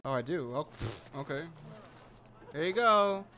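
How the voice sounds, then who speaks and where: A man's voice: fairly high pitch, expressive, measured pace, bright, forceful tone, slight background noise. A man giving casual, flippant let's play commentary, often swearing and muttering to himself. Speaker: gamer commentating on own play.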